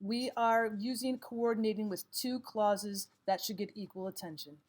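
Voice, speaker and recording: A woman narrating a scripted lesson as a teacher, reading items aloud in a measured, instructional way. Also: mid-range voice, a little mellow. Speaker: English grammar teacher.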